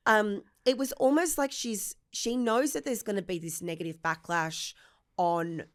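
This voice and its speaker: Woman, raspy for now, mid-pitched, playful gossip podcast co-host, casual chat. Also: steady tone, unhurried. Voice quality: raspy at the moment. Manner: conversational, playful interjections.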